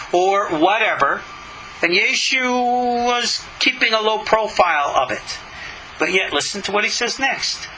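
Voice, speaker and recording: A man's voice: sits high, pushed and bright, a little hiss or hum, poor audio. A middle-aged or older man, a rabbi giving a religious lesson, reading a text and commenting on it in an emphatic, skeptical, questioning way.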